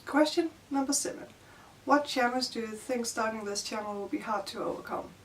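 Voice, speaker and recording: A woman's voice: on the high side, a little fast, distant mic, rough recording. Young woman, Danish accent, shy and hesitant book vlogger, mic set a little back, faint hiss. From Denmark. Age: young adult. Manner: shy, hesitant, many fillers like "like" and "you know". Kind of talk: casual vlog answering tag questions.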